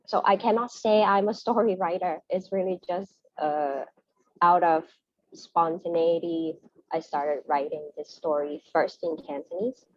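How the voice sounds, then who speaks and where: A woman's voice: sits low, no echo. A woman asking an informal question as an audience member, tentative and self-effacing, heard over lo-fi call audio.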